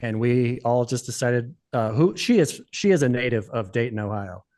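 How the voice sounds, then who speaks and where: Relaxed, rambling man in his forties. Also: medium pitch, normal speed. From United States. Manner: relaxed, rambling, many fillers. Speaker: podcast guest. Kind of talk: casual interview chat.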